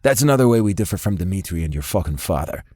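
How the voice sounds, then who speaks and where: This is a man voicing a large, calm male guard in an audiobook. His voice is low and plain. He states things flatly and without fuss. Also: rapid-fire, dry recording, clean studio sound. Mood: matter-of-fact.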